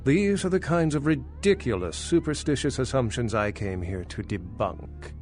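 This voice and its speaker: A man's voice: medium pitch, lively intonation, moderate pace, darkish tone, faint music behind, lightly acted. An actor playing a centuries-old vampire scholar in a video game, articulate, skeptical and dryly condescending as he lectures. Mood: wry disdain. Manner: articulate, lecturing, wry, pedantic. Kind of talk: video game dialogue acting.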